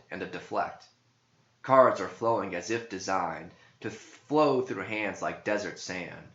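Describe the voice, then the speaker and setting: A man reading scripted narrative verse aloud in a measured, deliberate way. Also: sits low, fairly bright tone. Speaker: author reading own verse. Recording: dull, echoey room recording.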